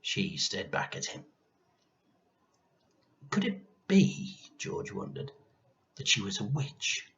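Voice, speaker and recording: A man reading a children's story aloud in an expressive, theatrical narrating style. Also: on the low side, slow, long pauses, muffled recording.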